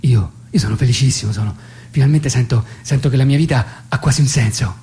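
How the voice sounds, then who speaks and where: A man's voice: medium pitch, brisk, mellow, lightly acted. An actor playing an inexperienced new father on the phone in a radio drama, hesitant, eager to please and repeating his phrases. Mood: earnest. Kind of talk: theatrical radio monologue, staged phone dialogue. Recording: faint hum and background noise.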